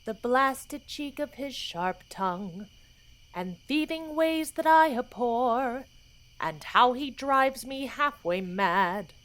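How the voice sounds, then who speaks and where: A woman's voice: a little high, expressive, takes it slowly, fairly bright tone, low-quality recording. A woman acting an exasperated, secretly smitten young woman in verse in a musical radio play.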